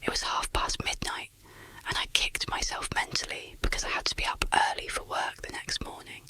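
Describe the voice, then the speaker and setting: An ASMR storyteller of unclear sex narrating a first-person horror story in a breathy, unvoiced whisper, measured and deliberate. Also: sharp tone.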